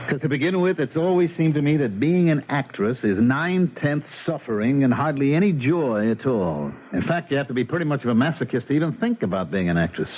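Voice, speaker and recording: A man's voice: medium pitch, phone-quality sound, lightly acted. An actor playing a candid, unsympathetic man, narrating reflectively and emphatically in a 1970s radio drama. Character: candid, unsympathetic man looking back on an actress. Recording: noisy, band-limited 1970s radio broadcast.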